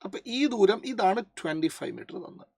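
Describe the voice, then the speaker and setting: Malayali accent, physics teacher, medium pitch, explanatory, code-switching into English, frequent check questions, dry recording. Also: expressive, fairly quick, vocal fry, warm tone, breathy edge, dry recording. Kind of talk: explanatory lesson working through a problem.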